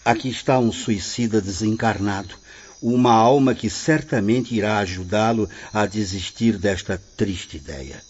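A dull, faintly noisy radio-play recording presents a calm, benevolent spirit guide, played by a man. His low voice instructs with solemn formality. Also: unhurried, close to an everyday voice. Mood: solemn, encouraging. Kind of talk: radio drama acting. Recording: muffled, lightly noisy radio play audio.

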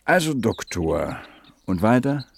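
A man's voice: fairly low pitch, big pitch swings, slow, gravelly, slightly rough, heavily acted. An actor in a German radio play playing a wry, laconic mobile disc jockey in his mid-thirties who flirts in short, dry phrases. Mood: wry, flirtatious.

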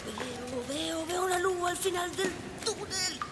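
A woman acting a dim, melodramatic hypochondriac pirate who complains in a drawn-out way, in a radio play. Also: extremely high pitch, heavy background noise, full character voice. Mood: panicked, wailing. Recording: loud music under the voice.

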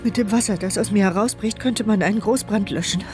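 A woman's voice: mid-range voice, a little fast, over music, lightly acted. An actress playing a mute, paralysed woman who narrates her inner thoughts in an intimate, urgent voice in a radio drama. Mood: tense, wry. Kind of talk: first-person radio drama monologue.

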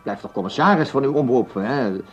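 Man acting a flustered office boss, pitch swinging widely. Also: animated, a little fast, lo-fi sound, faint music behind, close to an everyday voice. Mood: fawning, apologetic. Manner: stammering and obsequious, snapping when giving orders. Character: flustered, fawning male broadcasting boss who barks at staff. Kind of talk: radio play acting.

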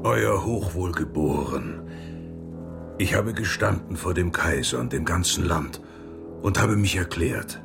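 An actor playing a steadfast, probing monk and scholar in a German radio play. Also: male voice, deep voice, expressive, unhurried, vocal fry, over music, heavily acted. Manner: probing, self-assured, unyielding.

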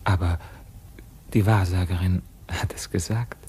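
An actor in a German radio play, playing a feverish, haunted dying king with slow, declamatory delivery. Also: low voice, steady tone, a little mellow, slight background noise. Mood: fading, whispering. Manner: slow, declamatory, swinging from cries to faint murmurs.

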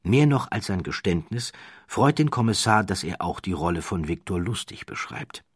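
A man narrating an audiobook story from a script in a measured, emphatic way, with a husky voice and deliberate pauses. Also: low voice, animated, no echo, clean studio sound. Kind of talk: scripted dramatic narration of a true crime story.

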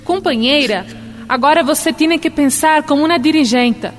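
High-voiced, firm Brazilian comrade played by an actress. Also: female voice, normal speed, slight background noise, lightly acted. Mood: stern, exhorting. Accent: Brazilian Portuguese. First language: Portuguese. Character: stern Brazilian revolutionary cellmate, firm and exhorting. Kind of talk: radio drama acting. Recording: long reverb with music behind.

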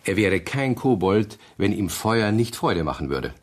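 Male narrator, low voice, very lively intonation, measured children's storytelling, radio play.

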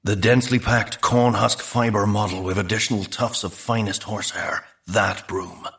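A man's voice: lowish voice, steady tone, moderate pace, fairly bright tone. A man voicing a dying psychic dugong in an audiobook, solemn, ponderous and formally wordy. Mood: dying, pleading. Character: dying dugong, male, psychic, solemn, verbose, sounding like a grave film star.

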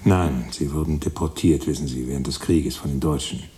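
Brooding writer type musing out loud in a radio play, really deep warm voice, deliberate. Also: male voice, slight background noise. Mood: reflective. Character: brooding male storyteller inventing his character aloud. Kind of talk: German radio play acting.

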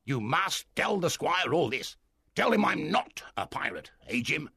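A man doing a raspy excitable castaway. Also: fairly high pitch, unhurried, dry recording, clean studio sound, voice pushed up, full character voice. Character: wild marooned old castaway man, excitable.

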